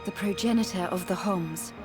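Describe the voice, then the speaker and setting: A woman playing a solemn, composed guide of an ancient race in a video game, speaking in a measured, formal way. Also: medium pitch, natural voice. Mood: grave. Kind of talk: scripted video game cutscene acting. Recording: music behind the voice.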